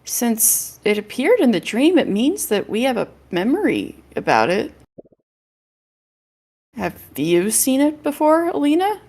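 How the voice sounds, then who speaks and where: A woman's voice: on the high side, huge pitch swings, measured pace, a little mellow. A woman streaming a video game, chatting casually and reading story dialogue aloud, with game music behind her.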